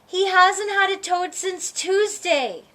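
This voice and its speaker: An excitable, mischievous schoolgirl played by a woman reader, high and bright. She blurts things out with storybook drama and plenty of exclamation. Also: slow, full character voice. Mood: urgent.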